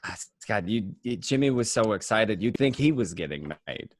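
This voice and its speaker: A man acting as an excitable mobster who tells his crime story conversationally, tripping over and repeating words. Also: on the low side, moderate pace, no echo. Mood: excited, fond. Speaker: amateur actor in an online radio play. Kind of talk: first-person dramatic monologue, conversational.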